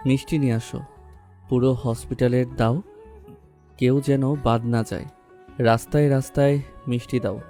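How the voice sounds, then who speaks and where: A man playing a brooding, remorseful young man in love in an audio romance drama, speaking slowly and heavily over music. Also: medium pitch, steady tone, mellow, lightly acted. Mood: choked up, commanding. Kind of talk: Bengali audio story romance acting. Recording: music bed behind the voice.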